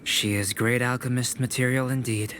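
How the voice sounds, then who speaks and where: An actor playing a composed young male alchemist mentor who speaks in a calm, measured way. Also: medium pitch, noisy background, close to an everyday voice. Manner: calm, measured, quietly reproachful. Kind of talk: video game voice acting.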